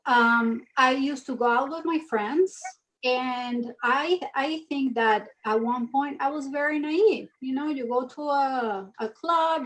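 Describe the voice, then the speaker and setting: A woman's voice: sits high, low-quality recording. A woman from Venezuela in her thirties, speaking earnestly as an interview guest in a casual chat. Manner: earnest, animated storytelling. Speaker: guest, mission ambassador.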